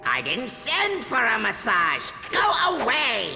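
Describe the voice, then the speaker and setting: An actor playing a theatrical, short-tempered megalomaniac robot villain in a video game, bombastic and shouting in an echoing room. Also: male voice, very high-pitched, wildly swooping pitch, slow, gravelly, exaggerated voice. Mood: irritated. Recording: echoing room, loud music behind.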